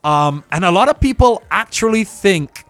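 Higher-voiced male interviewer, close and dry. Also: lively intonation, moderate pace, a bit bright, no room sound. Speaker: radio interviewer, host. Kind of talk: casual interview chat.

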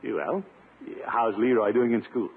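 An actor playing a playful, grumbling middle-aged bachelor uncle in a blustery 1950s radio sitcom style. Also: male voice, mid-range voice, expressive, unhurried, husky. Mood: playful pleading. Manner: blustery, wheedling, theatrical grumbling. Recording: muffled, narrow-band 1950s radio recording, hiss.

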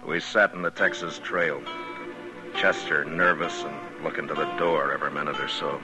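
A low, commanding American voice plays a weary, stern frontier marshal. The delivery is terse, in the manner of a 1950s radio western, on an old broadcast recording with prominent music. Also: male voice, fairly even intonation, normal speed. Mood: weary, brooding. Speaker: lead actor and first-person narrator.

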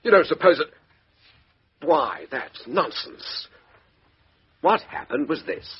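An actor playing a blustering, indignant English army major under questioning in a 1950s radio drama. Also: male voice, fairly high pitch, sing-song, slow, breathy edge, full character voice. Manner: blustering, indignant, rising to panic. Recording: dull lo-fi old radio recording.

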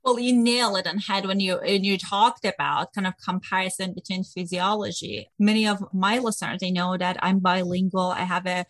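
A woman's voice: medium pitch, fairly even intonation, moderate pace, few pauses, fairly bright tone, dry recording. Woman host, dry close sound. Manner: asks questions, frequent restarts and non-native phrasing. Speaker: podcast host. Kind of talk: conversational interview.

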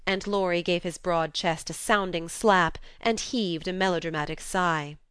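A woman's voice: lowish voice, normal speed, fairly bright tone, clear, resonant tone, dry recording, clean studio sound. A woman reading scripted literary narration as an audiobook narrator. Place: quiet, dry recording space.

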